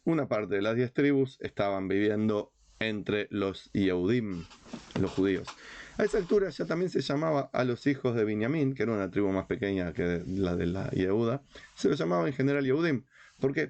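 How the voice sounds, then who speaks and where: Low-voiced Uruguayan man teaching, muffled sound. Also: lively intonation, moderate pace, darkish tone. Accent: Rioplatense Uruguayan. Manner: didactic, emphatic, with rhetorical questions and repetition. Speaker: rabbi teacher. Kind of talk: informal religious history lecture.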